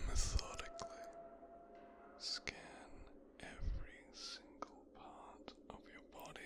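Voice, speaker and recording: A man playing an arrogant, bitter young son of a mafia boss in an ASMR roleplay, coldly menacing, with a breathy half-whisper and a deliberate, condescending delivery. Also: fairly low pitch, a little hiss or hum. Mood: coldly methodical, menacing. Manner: slow, deliberate, condescending, profane. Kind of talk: scripted ASMR roleplay, speaking directly to the listener.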